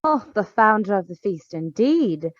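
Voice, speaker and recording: An actress playing an indignant, sharp-tongued Victorian wife in a radio play, scornful and emphatic. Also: female voice, medium pitch, very animated, unhurried, lo-fi sound. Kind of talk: theatrical radio drama acting.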